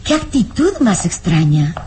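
An actress playing a fretful young noblewoman in a melodramatic radio serial, talking to herself with troubled, halting sighs. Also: fairly low pitch, very animated, mellow, slight background noise. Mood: worried, puzzled. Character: anxious young noblewoman, sheltered, fretful daughter of a baron.

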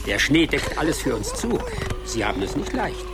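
A man's voice: mid-range voice, sing-song, normal speed, background noise. A man playing a sly, amused fox who is a loyal friend, in a German radio play. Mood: wry, reassuring.

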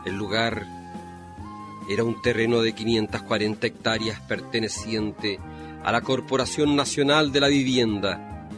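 A man narrating a scripted radio drama in a measured, solemn manner. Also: lowish voice, steady tone. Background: music.

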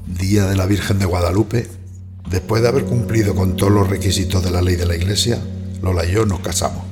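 Low-voiced rural man in a radio play, acted by a man. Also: steady tone, fairly quick, music in the background, lightly acted. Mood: somber. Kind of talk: radio play acting and first-person narration.

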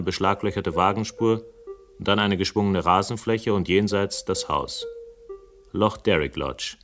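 A world-weary male investigator for radio drama. His voice sits fairly low and his delivery is sardonic and philosophical, with a flirtatious, teasing edge. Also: fairly bright tone. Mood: reflective, literary. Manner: sardonic, literary, dryly teasing. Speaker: lead actor and first-person narrator. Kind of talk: German radio play acting and narration.

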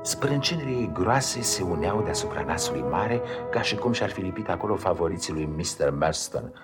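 A man's voice: deep voice, lively intonation. An actor playing a narrator in a 1950s radio drama, telling a literary story of his childhood. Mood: measured storytelling. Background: music.

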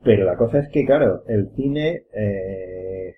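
Man, Castilian accent, lowish voice, rambling casual panel talk, muffled lo-fi sound, background noise. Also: expressive, slow. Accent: Castilian Spanish. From Spain. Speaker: podcast panelist. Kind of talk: casual chat among friends. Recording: dull, noisy lo-fi recording.

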